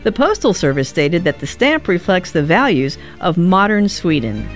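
Volunteer reading a radio script, really varied pitch, bit of music behind. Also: very animated, normal speed. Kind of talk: scripted narration.

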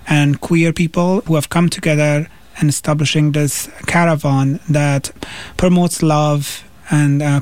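A man's voice: fairly high pitch, darkish tone, no room sound. A man from Afghanistan, a non-native English speaker, talking thoughtfully as an interview guest. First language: not English. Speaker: interview guest, PhD student and activist.

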